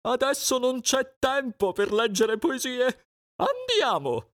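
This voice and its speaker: A storyteller voicing a fussy grown-up female goose who reads poetry, speaking high and drawn-out with exclamations. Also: sing-song, heavily acted. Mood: alarmed.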